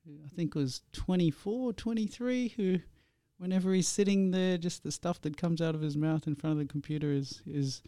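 Man from India, slightly high, mellow smooth voice, friendly rambling interview host, dry sound. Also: moderate pace, hardly any pauses, dry recording.

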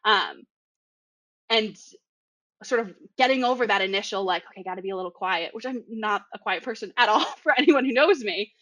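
A woman's voice: mid-range voice, fairly bright tone, no echo. Chatty young woman from Boston. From Boston area. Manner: chatty, laughs often, quick restarts. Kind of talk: casual podcast chat.

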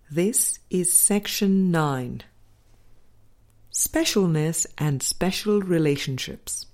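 A woman reading a spiritual book aloud as scripted narration, in a measured, deliberate way with pauses between phrases. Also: lowish voice, darkish tone. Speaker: audiobook reader.

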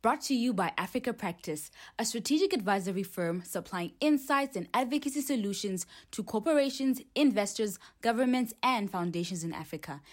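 Polished female podcast announcer. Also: mid-range voice. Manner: polished, corporate delivery. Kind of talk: scripted promo read.

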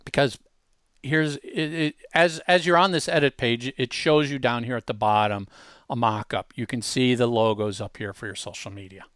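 Male presenter, mid-pitched voice, casual tutorial style, step-by-step explaining, emphatic asides, dry close sound. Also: normal speed, fairly bright tone, no echo. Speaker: presenter demonstrating a web tool.

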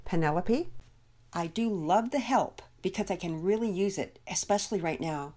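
A woman's voice: mid-range voice, expressive, moderate pace, warm tone, pitched above the performer's own voice, close to an everyday voice. Storybook mother, read by a woman: caring, firm, probing, with very varied intonation. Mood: gently reproving. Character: caring but firm mother, concerned and reproving.

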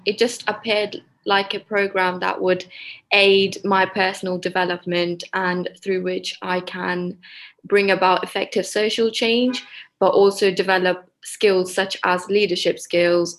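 A young woman from Pakistan, a law student, speaking in a measured, earnest way. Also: medium pitch. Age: young adult. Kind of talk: casual discussion.

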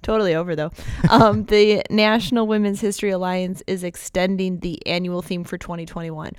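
A woman with a Buffalo accent and a nasal, smooth, clear voice co-hosts a casual chat. She talks in a chatty way, drops sarcastic asides and laughs easily. The sound is close and dry, with no room in it. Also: on the low side, fairly even intonation, brisk, no echo. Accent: Buffalo, New York. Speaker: podcast co-host.

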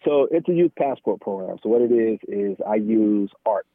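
An American man speaking candidly and informally as an interview guest, halting often and peppering his talk with "man" and "you know". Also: lowish voice, lively intonation, dry recording. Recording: thin phone-like line, dry.

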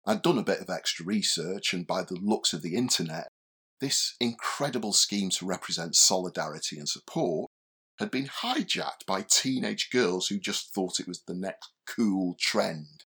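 A man's voice: low-pitched, lively intonation, normal speed, fairly bright tone, breathy edge, full character voice. An actor playing a young, self-deprecating bar worker, telling his story in a conversational, wry, confiding radio drama monologue. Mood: candid.

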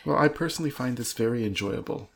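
A woman's voice: extremely low pitch, lively intonation, quick pace, creaky. A woman co-hosting a podcast, reacting casually in an incredulous, joking way. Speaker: podcast co-host and listener.

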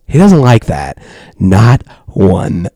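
A man doing an impression of a menacing male villain, in a slow, low, breathy near-whisper with long pauses. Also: huge pitch swings, dark tone, dry recording, studio quality, full character voice.

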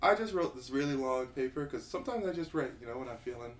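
Quiet-voiced young man, blunt and joking. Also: mid-range voice, lively intonation, fast. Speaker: podcast guest. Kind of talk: casual chat.

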